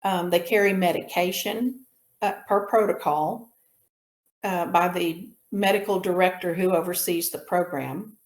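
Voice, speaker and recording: A woman giving an informal slide lecture as an instructor, explaining in a measured way with pauses mid-sentence. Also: on the low side. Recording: roomy echo on the voice.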